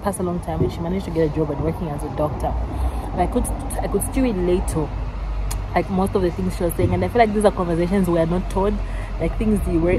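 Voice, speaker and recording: Rambling young woman vlogging over street noise. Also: on the low side. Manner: rambling, many fillers and restarts. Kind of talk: casual vlog chat. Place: out and about, then a café.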